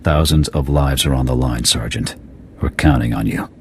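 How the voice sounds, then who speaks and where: A gruff military commander in a video game, with an extremely deep voice. He is stern and authoritative, issuing clipped orders as in a mission briefing. Faint vehicle and ambient noise sits behind him. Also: normal speed. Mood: stern, grave.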